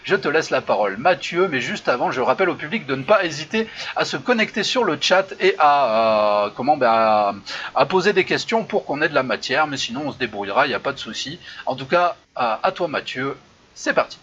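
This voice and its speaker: A man's voice: mid-range voice, sing-song, brisk, fairly bright tone. A man hosting an online improv show over a video call, hesitant with many fillers and frequent laughs. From Lyon region. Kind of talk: casual live-stream hosting. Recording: dull lo-fi video call audio with faint noise.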